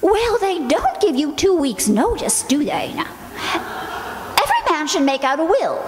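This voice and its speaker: An actress playing a chattering, scatterbrained, nagging wife with a fussy manner in an old-time radio comedy. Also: female voice, extremely high pitch, wildly swooping pitch, measured pace, heavily acted. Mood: insistent. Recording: echoey reverberant hall.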